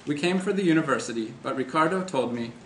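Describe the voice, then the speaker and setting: A man's voice: fairly high pitch, lively intonation, quick pace. Man reading short fiction, roomy echo. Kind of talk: first-person literary reading. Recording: echoey room, faint background noise.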